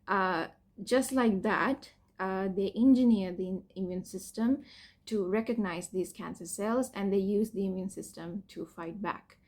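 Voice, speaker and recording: A young woman from Sri Lanka, a PhD student, explaining her cancer treatment and story in a candid personal vlog, hesitant, with many fillers and soft laughs. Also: medium pitch, measured pace. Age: young adult.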